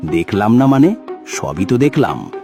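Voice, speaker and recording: A man's voice: lowish voice, sing-song, a little slow. A man voicing a jealous, scheming village troublemaker in a cartoon, sneering and conspiratorial. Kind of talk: Bengali cartoon dialogue. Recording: clear music behind the voice.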